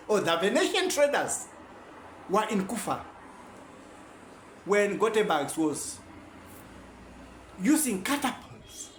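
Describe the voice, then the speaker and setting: A man's voice: a little high, echoey room, slight background noise. A man giving a rambling, self-correcting informal lecture.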